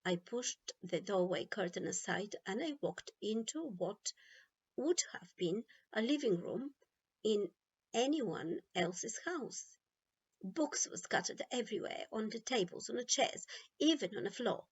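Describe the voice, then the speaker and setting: A woman reading a children's picture book aloud as an expressive storytime narrator. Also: medium pitch, measured pace, lo-fi sound. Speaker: storytime reader. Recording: clear background noise.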